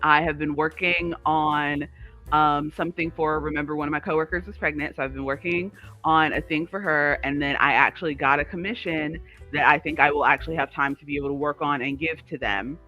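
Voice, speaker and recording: A man's voice: on the high side, fairly even intonation, brisk, lo-fi sound, faint music behind. A man co-hosting a livestream, chatting casually in a rambling, digressive way. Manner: chatty, digressive, talks to live viewers. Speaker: livestream co-host.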